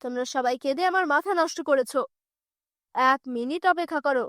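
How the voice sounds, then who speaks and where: A woman's voice: high voice, moderate pace, fairly bright tone, dry recording, clean studio sound. A woman voicing a greedy, bullying male kidnapper in a cartoon tale, with a threatening, commanding manner.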